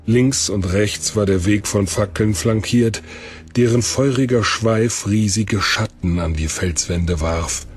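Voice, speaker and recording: A man narrating a fantasy adventure in a deliberate, descriptive storytelling style. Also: on the low side, faint music behind. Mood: atmospheric. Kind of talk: scripted narration.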